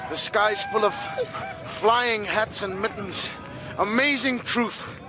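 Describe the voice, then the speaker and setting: A man's voice: sits high, slow, over music. An actor playing a proud gold prospector who coaxes his dog with fervent love, in a radio drama. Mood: exultant urging. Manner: fervent, coaxing.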